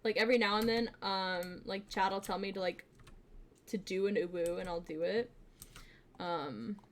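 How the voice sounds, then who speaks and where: A young woman streamer chatting casually with her viewers, bubbly and giggly, with lots of fillers, switching between quiet asides and excited greetings. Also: normal speed, slight background noise. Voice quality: fairly deep voice for a woman. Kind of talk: casual live-stream chat.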